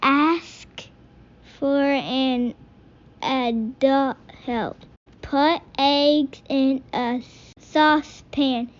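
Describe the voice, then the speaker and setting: A woman voicing a patient but exasperated rabbit instructor from a picture book, speaking in deliberate, halting steps. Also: high voice, steady tone, highs cut off. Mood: instructive.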